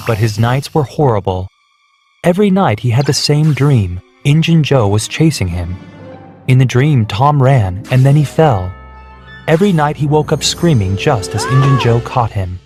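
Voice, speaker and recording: Man, storybook narrator, steady reading, mid pitch, music behind. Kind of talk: scripted children's story narration. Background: background music.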